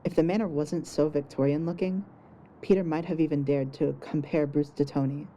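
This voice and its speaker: A woman's voice: sits low, steady tone, moderate pace, warm tone, slight background noise. A woman reading scripted fiction narration as the storyteller of an audio story.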